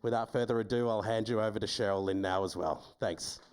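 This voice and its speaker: A man hosting an event, briskly introducing the next speaker. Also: fairly low pitch, darkish tone, slight background noise. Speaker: event host.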